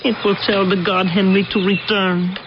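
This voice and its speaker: A woman's voice: mid-range voice, level tone, highs cut off, close to an everyday voice. A woman acting in an early 1980s radio drama as a devoted, solemn island worshipper who speaks formally and reverently. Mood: pleading, sad. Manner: formal, reverent, deliberate, stilted phrasing. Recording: noisy, band-limited old radio broadcast recording.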